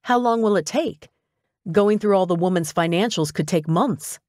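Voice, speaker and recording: A woman's voice: on the low side, normal speed, darkish tone, no echo, studio quality, natural voice. A woman voicing a wry, lightly sarcastic young female assistant to a detective in an audiobook. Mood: questioning, eager.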